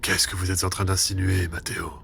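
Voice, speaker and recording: A man's voice: sits low, flat delivery, normal speed, gravelly, sharp tone, slight background noise, exaggerated voice. A man playing a cold, scolding intelligence chief in a spy audio drama, speaking formally and curtly. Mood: stern, reproachful. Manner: formal, curt, reproachful.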